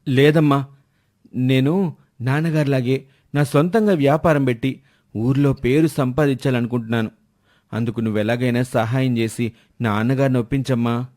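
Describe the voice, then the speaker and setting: A man's voice: medium pitch, normal speed, pauses often, darkish tone, lightly acted. A man voicing an eager, ambitious young married son in a moral story, earnest and wheedling. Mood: pleading. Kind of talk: animated moral story dialogue.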